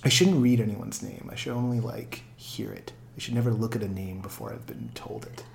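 A man's voice: on the low side, brisk, gravelly, darkish tone, slight background noise. A man hosting a podcast in casual chat, rambling and self-deprecating with many restarts. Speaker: podcast host.

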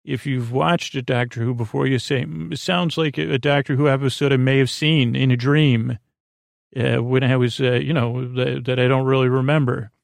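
A man with a creaky voice hosting a bedtime podcast in a halting, meandering monologue, gently reassuring as he stammers and circles back on his phrases. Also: mid-range voice, steady tone, no echo, studio quality. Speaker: podcast host.

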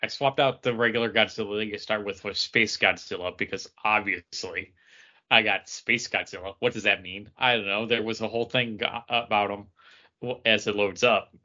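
A man co-hosting a podcast, chatting haltingly about a mobile game and cracking dry jokes. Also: on the low side, fairly even intonation, bright, forceful tone, muffled recording. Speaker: podcast co-host.

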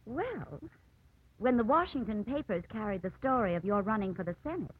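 A woman's voice: medium pitch, expressive, normal speed, a little hiss or hum, natural voice. An actress playing a bold, scheming small-town society woman with an arch, needling manner in a vintage radio drama. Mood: coolly scheming. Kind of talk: 1940s-50s radio acting. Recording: muffled old radio broadcast.

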